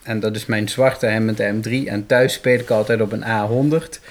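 A man's voice: lowish voice, fairly even intonation, moderate pace. A man, a musician, chatting casually as an interview guest.

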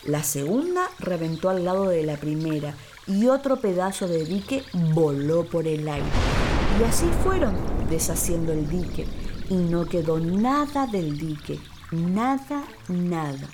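A woman storyteller narrating for children in an expressive, dramatic way, with deliberate, emphatic phrasing. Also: on the low side, slight background noise. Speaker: actress, storyteller. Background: music and water sound effects.

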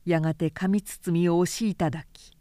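A woman narrating a literary audiobook in a measured, deliberate storytelling manner. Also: on the low side, fairly even intonation, dark tone, dry recording, clean studio sound.

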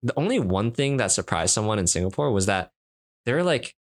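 Canadian guy on a podcast, lowish voice, laid back, jokes around, trips over his words. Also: sing-song, brisk. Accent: Canadian English. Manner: easygoing, teasing, many restarts. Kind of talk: casual conversation.

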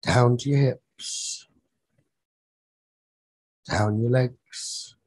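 A man leading a guided sleep meditation as a teacher, soothing and husky, speaking in short phrases with long pauses. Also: medium pitch, darkish tone, dry recording.

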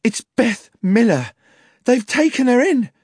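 A man acts out a young village woman for an audiobook. She is distraught and panicky, pitched very high and shrill. Her words come out as urgent cries, with a dry studio sound. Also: sing-song, measured pace, slightly rough, no room sound, full character voice. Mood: panicked.

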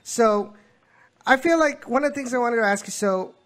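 A man's voice: extremely high pitch, normal speed, a bit bright. A man hosting a soccer show, interviewing a guest in a conversational, somewhat hesitant and wordy way.

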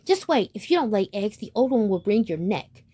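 A woman voicing a spiteful barnyard hen in a storybook, talking in a shrill, threatening way. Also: sing-song, fairly quick, dark tone, husky.

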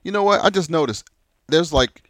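A man hosting a casual interview show, friendly and quick to jump in with questions. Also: a little high, dry recording. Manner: friendly, animated, jumps in with questions. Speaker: podcast host.

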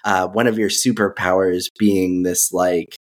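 A man's voice: low-pitched, little pitch movement, a little slow. A man hosting a podcast, asking questions casually, with a hesitant manner and many uhs. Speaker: podcast host. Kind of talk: casual interview.

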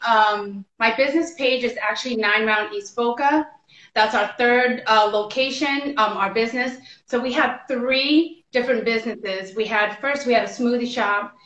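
A woman's voice: a little high, a bit bright. An American woman in her thirties, a fitness entrepreneur, chatting as a guest with many fillers over a video call. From United States. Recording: echoey, dull lo-fi call audio.

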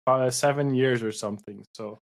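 A man's voice: medium pitch, fairly even intonation, normal speed, creaky. A young man from the Netherlands, a musician guest on a remote call, joking and laid-back. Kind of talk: casual interview chat.

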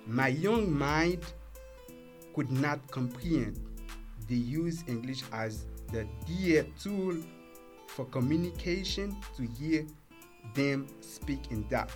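A young man from Haiti with a Haitian accent, a native Creole speaker, reading out his own story as an English learner in a measured, careful way. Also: mid-range voice. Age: young adult. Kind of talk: reading a prepared personal story.

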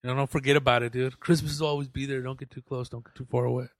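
A man's voice: medium pitch, level tone, very fast, dry recording, studio quality. A man co-hosting a podcast, bantering casually in easygoing, profane slang. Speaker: podcast co-host.

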